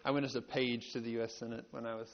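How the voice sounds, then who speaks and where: A man from rural North Carolina with a Southern American accent, giving a folksy, halting storytelling talk as a panel speaker. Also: medium pitch, level tone. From rural North Carolina, United States. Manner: folksy, halting with repeated words. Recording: dull, band-limited live talk recording.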